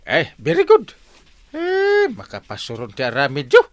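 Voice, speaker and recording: Excitable, high-voiced scolding village captain. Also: male voice, normal speed, dry recording. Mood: exasperated. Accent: Ilocano. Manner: exclamatory, starts phrases with "eh". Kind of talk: comedy radio drama acting.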